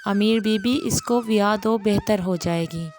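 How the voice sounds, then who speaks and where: A kindly older village uncle played by a woman storyteller coaxes in an avuncular way. The delivery is unhurried, at a middling female pitch, with soft music underneath. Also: natural voice. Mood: advising.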